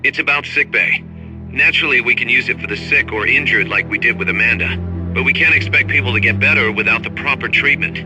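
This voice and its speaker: Male actor as game officer on briefings: mid-pitched, efficient, helpful, faintly wry, clear music behind. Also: quick pace, close to an everyday voice, poor audio. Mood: businesslike, wry. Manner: explanatory, businesslike. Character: brisk, efficient male military second-in-command, helpful, slightly wry. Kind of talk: video game briefing over radio.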